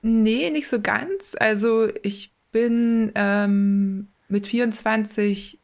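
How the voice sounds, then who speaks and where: A woman, a former rugby player and mental coach, chatting casually in an interview, talkative and relaxed with plenty of fillers and self-corrections. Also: fairly high pitch, takes it slowly.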